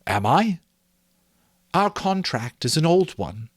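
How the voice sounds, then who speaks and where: A man plays a young Victorian woman in an audiobook, her voice lifted high. She is gentle but wounded, speaking earnestly and deliberately, with wide swells of pitch as she releases her love. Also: big pitch swings, breathy edge, no echo, studio quality, exaggerated voice. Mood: gentle reproach. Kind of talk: dramatic audiobook reading of a Victorian story.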